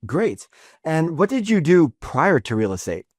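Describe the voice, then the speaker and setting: A man hosting a podcast interview in a studio, often halting and repeating words as he thinks. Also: a little high, sing-song, a little mellow, no echo. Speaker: podcast host. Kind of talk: interview with a scripted intro.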